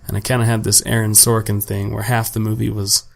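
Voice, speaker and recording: A young man, slightly raspy from a long day of talking, hosting a solo podcast at home and rambling casually and profanely about his week, with plenty of self-deprecation. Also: on the low side, level tone, brisk. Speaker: podcast host. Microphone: home desk microphone without pop filter.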